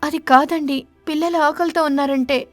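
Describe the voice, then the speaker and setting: Cartoon pigeon wife played by a woman: high, kind, loyal, conciliatory, dry studio sound with faint music. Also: fairly even intonation, normal speed, no echo, lightly acted. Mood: concerned.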